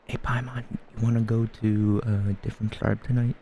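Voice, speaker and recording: A man's voice: lowish voice, level tone, normal speed, mellow, slight background noise. A man giving casual playthrough commentary as a gaming streamer, with laid-back, joking asides.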